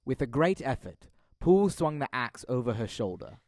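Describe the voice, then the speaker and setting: A man's voice: mid-range voice, sing-song, normal speed, very noisy. A young man reading scripted dramatic narration of a classic tale, as narrator of an audio drama. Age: young adult.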